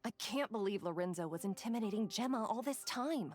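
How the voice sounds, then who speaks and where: A woman's voice: mid-range voice, lively intonation, brisk, a little mellow, soft background music, close to an everyday voice. A woman playing a frank, caring, observant young woman in a video game. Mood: puzzled, musing.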